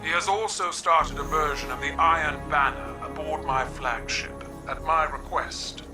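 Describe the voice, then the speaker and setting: An actor with a man's voice playing a regal alien war leader in a video game, formal, proud and deliberate. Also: fairly high pitch, fairly even intonation, natural voice. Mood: proud formality. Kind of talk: dramatic video game acting. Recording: distant voice under clear music. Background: music.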